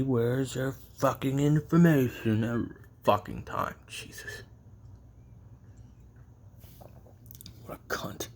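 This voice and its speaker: A man playing a bitter, weary assassin in an audio roleplay, recounting his life in a brooding, profane monologue with heavy pauses. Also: mid-range voice, creaky, breathy edge, full character voice. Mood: mocking, exasperated, then bitter, angry.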